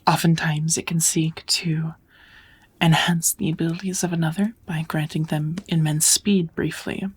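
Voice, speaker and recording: A woman playing a kind, patient witch who teaches magic in an ASMR roleplay, speaking softly and often in a whisper, in a gentle, measured, encouraging way. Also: sits low, level tone. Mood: patient, explaining. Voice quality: soft, breathy, often whispered.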